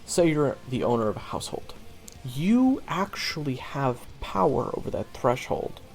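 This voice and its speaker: Young male monster hunter, played by a male actor, audio drama monologue. Casual, self-deprecating, trailing off; fry-heavy, expressive pitch, music underneath. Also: normal speed. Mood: wry, explanatory. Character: young monster-hunting swordsman, wry, wounded, easygoing. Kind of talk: conversational audio roleplay monologue.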